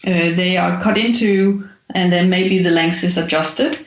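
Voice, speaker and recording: A woman in her forties who grew up in Denmark, a guest musician explaining at length in a thoughtful, halting way with many fillers. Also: on the low side, steady tone, reverberant, muffled recording. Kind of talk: explanatory interview talk.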